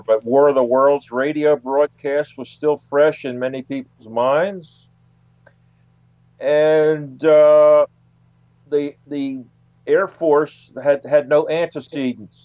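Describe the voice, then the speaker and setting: A man explaining things as an interview guest, in a halting way that often repeats words. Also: mid-range voice, a little mellow, clean tone, no room sound. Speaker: guest, UFO researcher and author.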